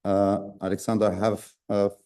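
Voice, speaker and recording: A man, a government official, giving relaxed opening remarks with a few halting pauses. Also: fairly low pitch. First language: Albanian. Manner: relaxed, joking, halting with fillers.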